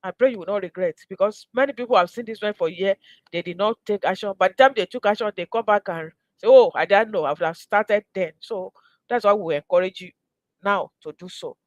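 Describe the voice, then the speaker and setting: A woman's voice: medium pitch, brisk, no room sound, dull audio. A woman with a Nigerian accent hosting a promotional live session, earnest and exhortatory, repeating phrases for emphasis. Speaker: host, mentor. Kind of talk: promotional live pitch to viewers.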